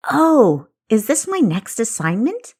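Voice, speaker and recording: A woman's voice: on the high side, sing-song, slow, a little mellow, slightly rough, pitched above the performer's own voice, heavily acted. Woman playing eager, cheeky young female spy. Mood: bright curiosity. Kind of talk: audiobook character dialogue.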